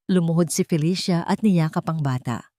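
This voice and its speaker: A woman with a somewhat low voice narrates a scripted love story. Her delivery is dramatic and measured. The recording is dry and close, with no room sound. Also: dark tone, dry recording.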